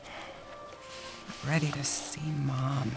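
A woman's voice: extremely low pitch, flat delivery, extremely slow, exaggerated voice. A woman playing a restless young wife who whispers wry confessions into a recorder. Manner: hushed confiding whisper with wry laughs. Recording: music playing under the voice.

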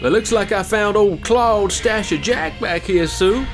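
An actor playing a folksy, gritty older trucker, drawling wryly in an audio drama. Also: male voice, high-pitched, measured pace, faint music behind. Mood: tense, defiant. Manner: folksy, drawling storyteller, wry. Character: gritty, folksy older male trucker protecting two young women.

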